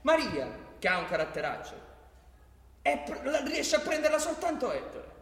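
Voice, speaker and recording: A man with a Roman accent narrating a radio drama as a theatrical storyteller, expressive and colloquial, with dramatic pauses. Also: very high-pitched. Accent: Roman Italian. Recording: echoey hall with long reverb.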